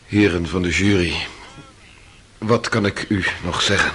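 Radio play defendant: low-pitched, rough-edged, anguished, earnest, pleading innocence. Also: male voice, a bit bright, heavily acted. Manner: solemn, pleading, emphatic declarations. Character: anguished accused man pleading innocence before a jury. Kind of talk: Dutch radio play acting.

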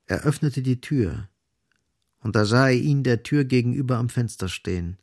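A man's voice: mid-range voice, expressive, normal speed, darkish tone, no room sound, clean studio sound. A man reading literary scripted narration as the narrator of a radio drama.

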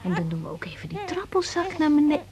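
A young woman doctor in a radio drama. Her voice is high and very animated, reassuring and brisk, on a recording with strong noise behind it. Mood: soothing. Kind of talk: naturalistic radio drama acting.

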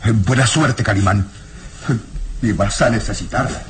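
Male peasant role for an actor: low voice, grim, insistent, vengeful, old radio drama with slight noise. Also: normal speed, natural voice. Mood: reluctant, worried. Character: Pietro, vengeful earnest village peasant man. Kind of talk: old radio serial acting. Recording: noisy old radio serial recording.

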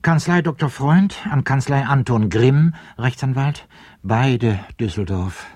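A man with a husky voice giving scripted narration and announcements in a radio play. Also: mid-range voice, lively intonation, slow pace, darkish tone, dry recording, clean studio sound.

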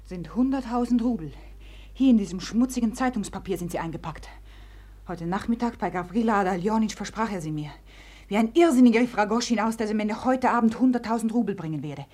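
A proud Russian society woman, played by an actress, speaks in a high voice. She is imperious and mocking, with fiery theatrical delivery in the manner of 1950s radio drama. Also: animated, a little fast, a little mellow, lightly acted. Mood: bitter, scornful. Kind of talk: 1950s German radio play acting.

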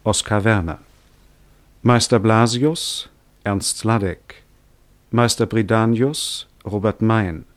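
A male radio announcer with a low voice reads scripted credits very slowly. His delivery is formal, measured and precise. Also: steady tone.

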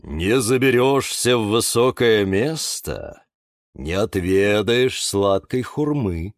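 A man's voice: fairly low pitch, takes it slowly, no room sound, studio quality. A man voicing a thoughtful, sententious bonsai tree in a children's story, musing in a sing-song way.

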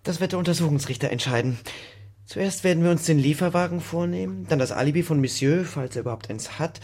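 An actor playing a gruff, bullying police lieutenant who questions people curtly and sarcastically in a radio crime drama. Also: male voice, on the high side, fast talker, a little mellow. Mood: smug, gruff. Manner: curt, sarcastic interrogating.

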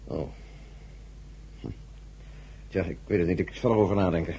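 A man's voice: sits low, fairly even intonation, moderate pace, mellow. An actor playing an impatient, self-important male diplomat in a radio drama, with a pompous, commanding manner. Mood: drowsy, reluctant. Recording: clearly noisy old radio recording.

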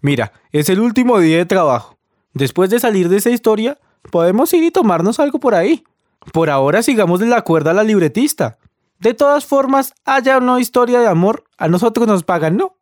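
Man playing a high-voiced, easygoing Colombian flirt. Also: sing-song, brisk, no echo, clean studio sound. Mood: easygoing, coaxing. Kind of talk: radio soap opera acting, colloquial.

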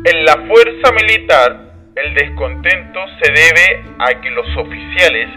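A man acting a courteous Chilean government adviser in a radio play. His high, bright voice reports slowly and carefully, with faint music behind. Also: expressive, heavily acted. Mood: earnest, respectful. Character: deferential male government adviser reporting to the president. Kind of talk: historical radio drama acting.

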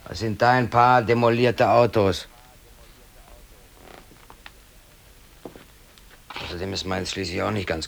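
A man's voice: lowish voice, close to an everyday voice. An actor playing an aggrieved, defiant working man in his thirties, brooding aloud in colloquial speech in a radio drama. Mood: bitter, rueful. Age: 30s. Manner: colloquial, brooding, talking to himself. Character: aggrieved, defiant working man, hobby photographer, in police custody.

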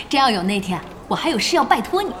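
A young woman playing a spirited, bossy gamer in an audio drama, brisk and mocking in tone. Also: on the high side, big pitch swings, a bit bright, a little hiss or hum. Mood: teasing. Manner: brisk, mocking, big-sisterly.

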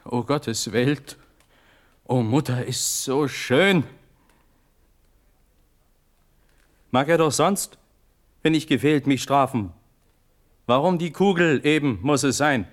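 A man's voice: a little high, close to an everyday voice. An actor playing a desperate young prince begging for his life, in fervent, declamatory classical radio-drama verse. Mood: anguished, fervent.

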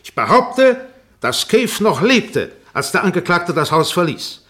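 An actor playing a shrewd, relentless defence barrister in a German radio play, formal and insistent. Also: male voice, high-pitched, big pitch swings, unhurried, sharp tone, heavily acted. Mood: accusing, pressing. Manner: formal, insistent, rhetorical repetition.

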